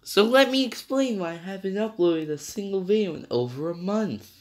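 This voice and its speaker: A man's voice: high voice, animated, normal speed, a little mellow. Rambling young man, slightly nasal. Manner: rambling, self-correcting, many fillers. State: partly sick, slightly nasal. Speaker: game reviewer. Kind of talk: casual video game review.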